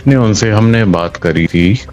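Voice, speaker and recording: A man's voice: lowish voice, normal speed, a little mellow, faint music behind. A man answering an interviewer in a colloquial way, often repeating phrases for emphasis. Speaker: local political party member being interviewed.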